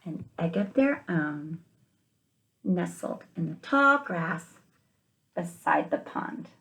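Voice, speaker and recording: A woman teacher reading a picture book aloud to young children, expressively, with gentle questioning asides to the listeners. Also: on the low side, animated, takes it slowly, creaky, rough recording.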